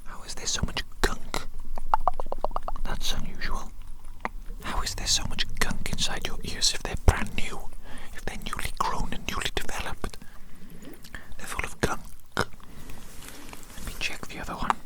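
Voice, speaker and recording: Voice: unhurried, long pauses, close to an everyday voice, rough recording. An ASMR performer voicing an eccentric evil doctor who dotes on a tiny creation, muttering and repeating phrases theatrically at a close microphone. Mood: baffled, dismayed. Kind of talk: whispered ASMR medical roleplay.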